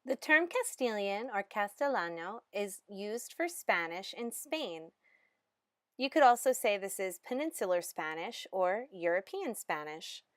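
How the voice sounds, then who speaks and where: A woman giving a measured, explanatory lecture narration as a language instructor. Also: medium pitch, big pitch swings, dry recording, studio quality.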